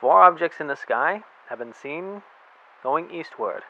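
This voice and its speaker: Male actor as casual studio announcer: fairly high, chatty, tinny phone-like sound. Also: expressive, a little slow. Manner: casual, chummy presenting. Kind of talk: amateur radio drama acting.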